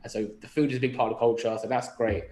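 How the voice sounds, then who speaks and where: An echoey room surrounds this young man with a London accent. He talks at a middle pitch in a casual podcast style, thinking aloud and often putting questions to himself. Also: steady tone, very fast, a little mellow. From London, England. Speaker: podcast speaker. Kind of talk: casual reflective podcast chat. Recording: roomy echo on the voice.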